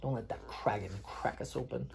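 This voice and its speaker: A man reading picture books aloud as a father at bedtime, playfully pausing to chat with his child. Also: mid-range voice, creaky, a little hiss or hum. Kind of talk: read-aloud picture book storytelling mixed with casual chat.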